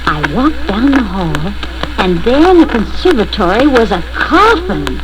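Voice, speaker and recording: A woman narrating a spooky children's read-along story in the first person, deliberate and expressive, on a scratched 1970 record. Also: fairly high pitch, noisy background.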